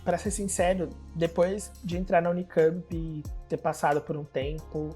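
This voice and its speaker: A man in his twenties, a student and drag performer, giving a personal testimony to camera in a lively, expressive way. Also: fairly high pitch, moderate pace, faint music behind. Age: 20s.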